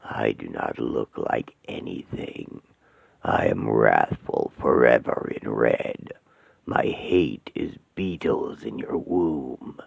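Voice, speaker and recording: A man voicing an ancient, hateful male demon regent who speaks in slow, whispered, menacing taunts. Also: rumbling low, vocal fry, breathy edge, dry recording, pitched below the performer's own voice, heavily acted. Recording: tinny phone-like sound.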